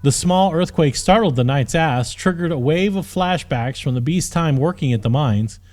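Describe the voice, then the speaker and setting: A man's voice: fairly high pitch, expressive, normal speed, a little mellow. A man narrating a scripted fantasy audiobook. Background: music.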